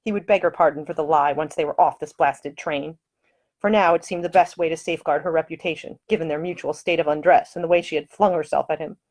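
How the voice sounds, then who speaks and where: A woman's voice: sits low, fairly even intonation, quick pace, a little mellow. A woman reading her own novel aloud as scripted audiobook narration.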